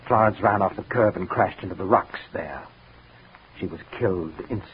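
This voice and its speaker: An actor playing a brooding, soothing composer in a 1940s radio drama, speaking in a measured, theatrical way. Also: male voice, low voice, natural voice. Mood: tense, placating. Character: brooding man composer, soothing and secretly probing. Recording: noisy muffled 1940s radio recording.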